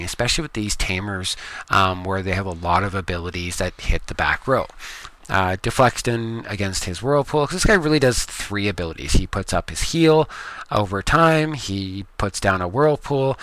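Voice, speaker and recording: A Canadian man giving relaxed, rambling gameplay commentary from his home room, with plenty of ums. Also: on the low side, no echo. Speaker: gaming video creator.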